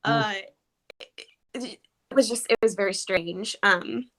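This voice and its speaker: A woman's voice: mid-range voice, slow pace, a bit bright. A woman actor chatting casually and animatedly in an interview, with giggly, hesitant phrasing. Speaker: stage and voice actor. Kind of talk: casual chat, interview answers.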